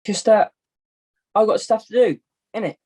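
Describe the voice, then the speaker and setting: A young woman acting a laid-back, self-important puppet with husky, slangy, casual talk in an amateur puppet show. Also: mid-range voice, moderate pace, warm tone, natural voice. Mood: casual, impatient.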